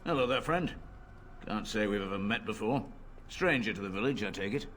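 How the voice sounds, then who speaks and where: An actor playing a greedy, stubborn middle-aged tavern keeper in a video game, wheedling and then cowardly. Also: male voice, lowish voice, lively intonation, brisk, fairly bright tone, a little hiss or hum. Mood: smug. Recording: game audio with faint ambience.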